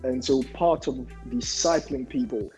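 Deliberate, halting man in discussion. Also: mid-range voice, darkish tone, soft background music, poor audio. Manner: halting, deliberate, repeats words. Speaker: discussion panelist. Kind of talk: reflective group discussion.

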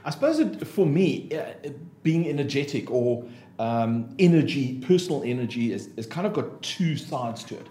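South African man, casual podcast guest, relaxed and chatty, roomy recording. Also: mid-range voice, moderate pace. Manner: relaxed, chatty, with frequent ums and laughs. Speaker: interview guest, motivational speaker and trainer. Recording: echoey room, faint noise.

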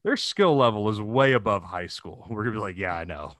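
A man's voice: lowish voice, lively intonation, fairly quick, a bit bright, no echo. A man in his thirties chatting casually and jokily as a podcast co-host, telling stories with loose, profane humor. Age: 30s. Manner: profane, jokey storytelling with frequent chuckling. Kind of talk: casual comedic chat.

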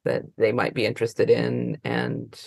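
Creaky-voiced American woman, rambling as she explains. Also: a bass voice, a little slow, a little mellow. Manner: rambling, trailing off mid-thought. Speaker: interview guest, librarian and trainer. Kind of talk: casual interview talk.

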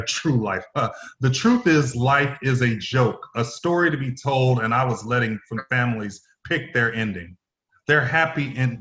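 A man's voice: mid-range voice, fairly bright tone, lightly acted. Man playing a defiant executive, echoey room. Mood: angry, defiant. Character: defiant, self-justifying male CEO under interrogation. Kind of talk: play reading. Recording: roomy echo.

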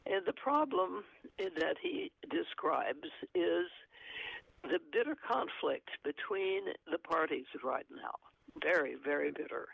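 A man with a gravelly voice talks in a halting, earnest way with many fillers, discussing and reading from an article. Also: a little high, sing-song, dry recording, narrow, tinny audio. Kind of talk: conversational commentary, reading aloud from an article.

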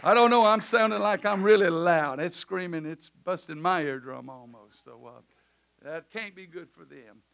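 A man preaching a sermon in a church service, loud at times and then dropping to quiet asides. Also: high voice, normal speed, gravelly. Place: church sanctuary.

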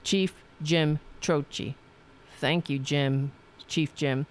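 A woman's voice: sits low, fairly even intonation, drawn-out words. A woman with a New York accent from Staten Island, hosting a political talk show in a rambling, profane monologue full of restarts. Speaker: podcast host.

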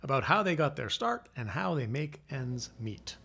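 A man hosting a podcast, reading a measured, descriptive introduction and then interviewing a guest at a busy festival. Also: mid-range voice, sing-song, vocal fry. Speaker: podcast host. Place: busy festival venue, stone hall. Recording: roomy, with music behind.

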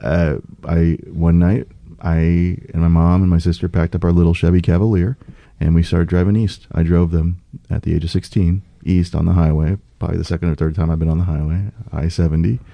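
Deep, gravelly-voiced man. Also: flat delivery, brisk, mellow, no echo. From United States. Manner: earnest, with frequent fillers and restarts. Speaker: political candidate guest. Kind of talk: conversational interview.

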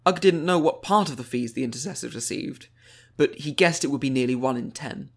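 A man's voice: medium pitch, a little fast, vocal fry. A man reading scripted fiction aloud as an audiobook narrator.

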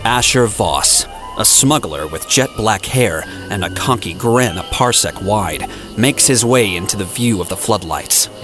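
A man's voice: fairly low pitch, expressive, unhurried, few pauses, over music. A man narrating an audio drama in a scripted, dramatic storytelling style.

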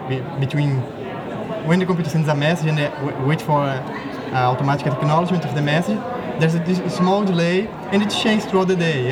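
Young brazilian guy, grad student, stumbles and restarts a lot, loud crowd noise behind him. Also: a little high. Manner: halting, many restarts and self-corrections. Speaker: graduate student. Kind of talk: casual conversational interview. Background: crowd chatter.

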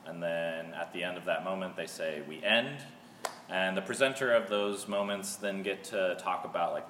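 Deep-voiced American man in an echoey room. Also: fairly bright tone. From Seattle, United States. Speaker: theater artist, interview guest. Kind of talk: casual explanation. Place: artist's studio. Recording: echoey reverberant room, faint noise.

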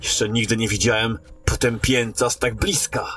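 A man's voice: medium pitch, steady tone, moderate pace, fairly bright tone, breathy edge, faint music behind. A man playing a dutiful, cautious knight captain who speaks formally in a fantasy radio drama. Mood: uneasy.

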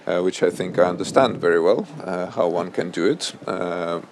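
A man in his thirties whose first language is Russian, speaking on a panel at a public event, halting and full of fillers and restarts. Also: sits low, background noise. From Estonia. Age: 30s. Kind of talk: panel discussion. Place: event hall before an audience.

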